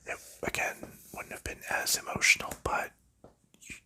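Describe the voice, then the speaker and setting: A man whispering softly as an ASMR host, rambling casually with frequent pauses and ums while he unwraps and sleeves game cards.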